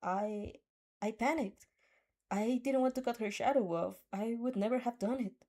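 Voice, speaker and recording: A woman voicing a sweet, cheeky and spirited teenage heroine, earnest and expressive, in a fan fiction audio dub. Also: a little high, normal speed. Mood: earnest, regretful.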